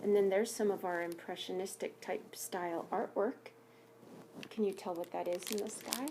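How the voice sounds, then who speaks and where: A woman reading a picture book aloud to young pupils as a teacher, expressively, pausing to ask questions and explain things simply. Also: medium pitch, fairly even intonation, background noise. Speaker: elementary school teacher reading aloud to students.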